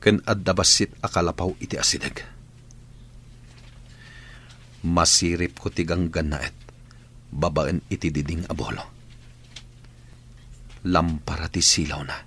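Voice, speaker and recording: A man with an Ilocano accent playing the gruff, bossy leader of an armed village gang in a theatrical radio drama. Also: sits low, moderate pace, pauses often, a bit bright, exaggerated voice. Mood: furtive narrating to himself.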